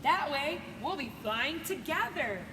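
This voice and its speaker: A woman's voice: high-pitched, lively intonation, unhurried, voice pushed up. A woman voicing an eager, encouraging young reindeer in a children's storybook, upbeat and coaxing. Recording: echoey noisy room.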